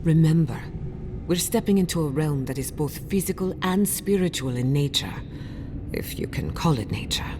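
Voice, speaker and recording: Low-voiced, earnest woman explorer. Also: animated, moderate pace, noisy background. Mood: grave warning. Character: earnest, curious, bold scholarly female spiritual explorer. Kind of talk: video game dialogue acting.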